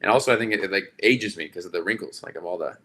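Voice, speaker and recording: Slightly raspy guy rambling on a livestream, kinda low, bright forward tone. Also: rapid-fire. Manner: chatty, rambling with many fillers and restarts. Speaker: livestream host. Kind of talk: casual live chat with viewers.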